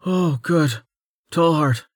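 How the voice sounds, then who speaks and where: A man's voice: sits high, takes it slowly, no echo, clean studio sound, voice pushed up. A man voicing an anxious, insistent male servant in a fantasy audiobook, with a worried, urgent manner. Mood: relieved. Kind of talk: audiobook character acting.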